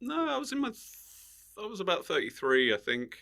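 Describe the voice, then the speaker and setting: A man's voice: sits high, wildly swooping pitch, a little fast, creaky, pushed and bright. A middle-aged man with a British accent, a comedian guest telling anecdotes casually and jokingly, acting out the remarks he quotes.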